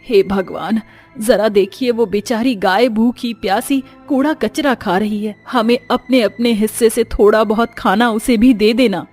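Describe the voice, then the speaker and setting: A woman voicing a gentle, pious, compassionate poor village woman in a moral story. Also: on the high side, steady tone, measured pace, faint music behind. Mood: pitying.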